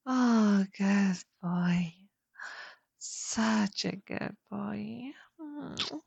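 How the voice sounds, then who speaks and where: Husky whispering woman, close and slow. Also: on the high side, dry recording, highs cut off. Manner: soft, whispery, coaxing. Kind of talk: intimate whispered audio roleplay.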